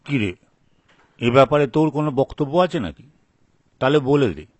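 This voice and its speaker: A man's voice: medium pitch, animated, moderate pace, a little mellow, no room sound, close to an everyday voice. A man playing a scheming, self-interested married elder brother in a folk tale, with a sly, persuasive manner. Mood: falsely generous. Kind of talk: acted dialogue for a story video.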